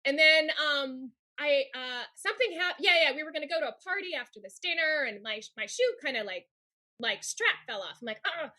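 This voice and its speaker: A woman with a high, bright voice telling stories as a podcast guest. She rambles with plenty of fillers and laughs easily in a relaxed chat. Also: fairly quick. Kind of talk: casual storytelling chat.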